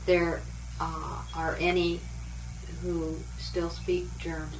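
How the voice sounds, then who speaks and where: A woman's voice: on the low side, flat delivery, slow. A woman interviewing someone about church singing, asking curious, tentative questions with fillers. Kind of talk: oral history interview. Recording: roomy sound with background noise.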